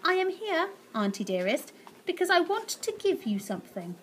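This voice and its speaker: A woman's voice: extremely high pitch, very animated, moderate pace, a little hiss or hum, pitched above the performer's own voice. A woman voicing a cheeky, polite young boy who teases his aunt.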